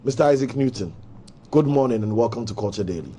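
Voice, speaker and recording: A man's voice: medium pitch, lively intonation, fast talker, mellow. A young man with a Ghanaian accent hosting a talk show, teasing his guest in playful banter. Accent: Ghanaian English.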